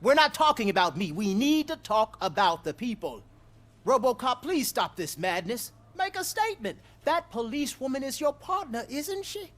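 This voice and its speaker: An indignant, self-righteous female activist for a game cutscene. Her pitch swings widely as she declaims and accuses, like someone addressing the public. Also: very animated, moderate pace, a bit bright. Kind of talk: video game cutscene acting.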